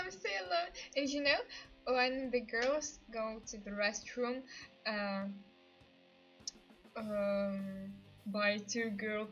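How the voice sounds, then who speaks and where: A woman's voice: fairly high pitch, a bit bright. A woman with an Eastern European accent chatting casually to livestream viewers, giggly and hesitant in her English. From Ukraine. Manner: giggly, hesitant non-native English phrasing. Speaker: livestream host. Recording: dull lo-fi stream audio, music behind. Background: music.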